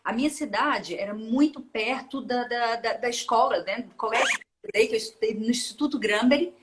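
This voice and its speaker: A woman in her forties speaks with a Minas Gerais Brazilian accent. She is a guest in a casual interview, and she talks in loose runs with restarts and frequent laughter. Her voice sits a little high. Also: fairly quick, background noise. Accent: Minas Gerais Brazilian Portuguese. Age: 40s. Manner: chatty, laughs often, rambling with restarts. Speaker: guest, open-water ultramarathon swimmer.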